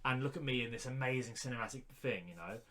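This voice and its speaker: A man's voice: mid-range voice, fast talker, a bit bright, a little hiss or hum. A man co-hosting a podcast, giving blunt, dismissive opinions in a casual debate. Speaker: podcast co-host.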